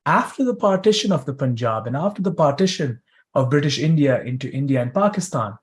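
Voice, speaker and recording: A young man from East Africa hosting a conference interview, talking in a conversational, joking way. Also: on the high side. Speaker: host, interviewer.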